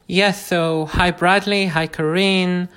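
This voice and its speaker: An Israeli man speaks English with the accent of a native Hebrew speaker. As a podcast guest he explains things informally, in halting, hesitant phrases. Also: high-pitched, fairly even intonation, fairly bright tone, smooth.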